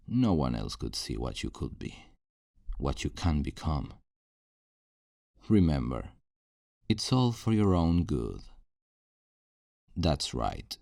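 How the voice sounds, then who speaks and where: Guy acting as creepy controlling kidnapper for asmr roleplay, super slow dark mellow voice, fake tender, coaxing, menacing. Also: extremely low pitch, gravelly, no echo, clean studio sound, full character voice. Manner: slow, hushed, coaxing, condescending endearments. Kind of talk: ASMR roleplay audio drama.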